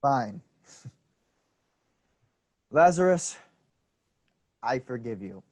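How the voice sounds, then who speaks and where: A man acts out a grumbling, grudging disciple in a biblical stage comedy. His voice sits at a middling pitch but rises and falls in a lively, huffy way. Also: extremely slow, heavily acted. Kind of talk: staged reading of a comic play.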